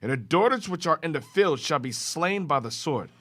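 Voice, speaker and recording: A man reading scripture aloud in a class, with a steady, formal reading cadence. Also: on the high side, moderate pace.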